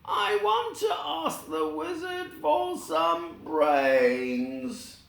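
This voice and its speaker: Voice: sits high, extremely slow, vocal fry, a bit bright, roomy sound, pitched above the performer's own voice, full character voice. A reader calling out as an eager straw scarecrow. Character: friendly scarecrow, male, eager and simple.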